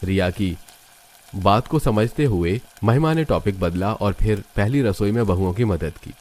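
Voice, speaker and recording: Male narrator, low register, scripted storytelling for a family cartoon. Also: unhurried, a little mellow.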